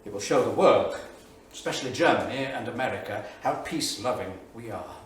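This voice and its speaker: A man's voice: mid-range voice, expressive, brisk, lightly acted. Man acting scornful 1930s writer, distant and echoey. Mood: grave, reflective. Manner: sardonic, emphatic, literary declamation. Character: anguished middle-aged male English writer, war veteran, scornful diarist. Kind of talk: staged reading of dramatised diary. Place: small theatre studio. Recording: echoey theatre, distant mic, faint noise.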